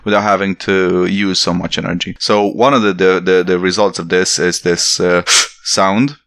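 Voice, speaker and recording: Low-voiced Romanian-accented man, dry sound. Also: moderate pace, no echo. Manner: animated, laughs often, jumps high when acting out quotes. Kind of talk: casual chat.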